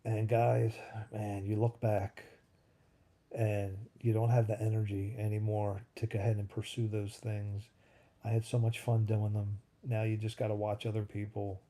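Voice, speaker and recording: Man, 50s, live-stream host, very dark tone, casual rambling chat. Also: fairly low pitch, monotone, moderate pace. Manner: rambling, thinks aloud, answers chat comments. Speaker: livestream host.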